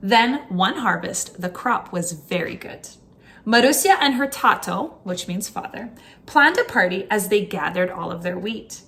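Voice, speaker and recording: A woman reading a children's book aloud as a teacher, in an expressive storytime style. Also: mid-range voice, unhurried.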